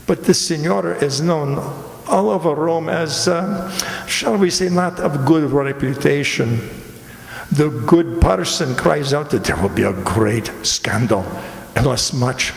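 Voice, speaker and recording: A man's voice: mid-range voice, creaky. Man acting a theatrical police commander, echoey hall. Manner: courtly, deliberate, theatrical. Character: clever, theatrical Italian police commander, courtly and sly. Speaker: amateur radio player. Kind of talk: stage radio mystery acting. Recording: echoey hall, live stage performance.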